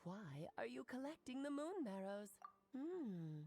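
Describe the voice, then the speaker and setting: Motherly mage, highish voice, animated swings, breezy, self-assured, theatrical, articulate, game dialogue. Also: measured pace. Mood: playful. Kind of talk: video game voice acting, expository dialogue.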